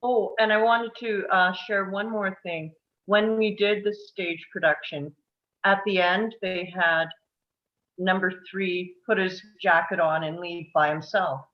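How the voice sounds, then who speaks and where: Slow, earnest middle-aged woman, slightly dull audio. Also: medium pitch, fairly even intonation. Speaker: table read participant. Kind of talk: casual post-reading discussion.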